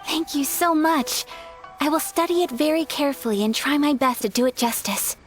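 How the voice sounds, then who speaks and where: A woman playing a polite, refined young noblewoman in an anime game, gentle, earnest and formally courteous. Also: sits high, moderate pace, faint music behind, natural voice. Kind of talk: anime video game voice acting.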